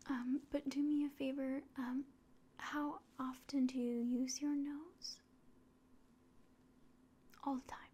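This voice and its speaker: A young woman whispering softly and breathily in a gentle, hesitant ASMR medical exam roleplay, close to the mic. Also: high-pitched, level tone, slow, long pauses. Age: young adult. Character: polite, clinical alien examiner. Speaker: ASMR performer. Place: small room indoors.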